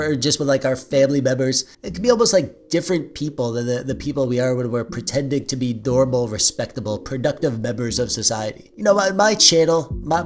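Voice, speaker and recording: A man in his forties from Canada, with a nasal voice and a slight stutter, answering viewer questions in a joking, dorky way. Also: on the high side. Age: 40s. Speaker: YouTube host. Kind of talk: casual Q&A vlog.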